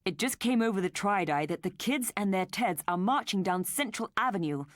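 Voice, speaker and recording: A woman's voice: mid-range voice, lively intonation, normal speed, no room sound, clean studio sound, close to an everyday voice. A woman voicing a shaken, grim father who speaks tensely.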